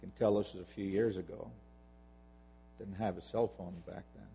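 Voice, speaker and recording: A man tells a personal story in a halting, conversational way, often doubling back on his words. His voice is dark and husky with a gravelly creak. It carries a long reverb, as if from a hall. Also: low-pitched, fairly even intonation. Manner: halting, repeats words while telling the story.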